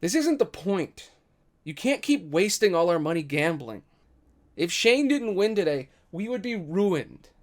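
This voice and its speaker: A man performs a young archer in a story podcast. The lad is indignant yet full of self-doubt. His pitch climbs above a normal male speaking voice as he pleads and hesitates. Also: big pitch swings, a little slow.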